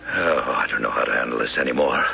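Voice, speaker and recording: A man's voice: rumbling low, quick pace, vocal fry, sharp tone, exaggerated voice. An actor in an old radio drama playing a domineering, exasperated banker who scolds his brother with impatient sarcasm. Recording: dull lo-fi radio broadcast with faint hiss.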